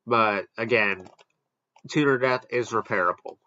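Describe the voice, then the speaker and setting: A man's voice: lowish voice, a little slow, sharp tone, dry recording, muffled recording. A man giving casual, off-the-cuff commentary as he hosts a product ranking. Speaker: host ranking weather radios.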